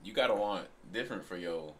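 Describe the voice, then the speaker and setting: A young man with a low, lively voice chats loosely about a song, swearing freely and laughing often. He talks from a bedroom, picked up by a camera set back from him. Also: brisk, slight background noise. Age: young adult. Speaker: reaction channel co-host. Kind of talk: casual reaction chat. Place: bedroom, indoors.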